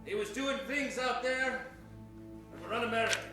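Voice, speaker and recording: A man playing a folksy old-west sheriff in an improvised radio western, halting and dramatic. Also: very high-pitched. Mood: grave, reluctant. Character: gruff, courtly old-west sheriff, war veteran. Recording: echoey stage, loud music behind.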